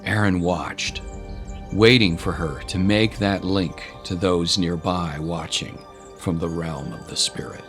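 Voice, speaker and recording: A male narrator delivers scripted dramatic storytelling. His voice sits low, and music plays clearly behind it. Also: takes it slowly.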